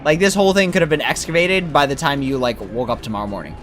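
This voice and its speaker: A man's voice: on the high side, fast talker. A man giving casual, rambling gameplay commentary as a streamer, talking informally. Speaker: game streamer. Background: game music.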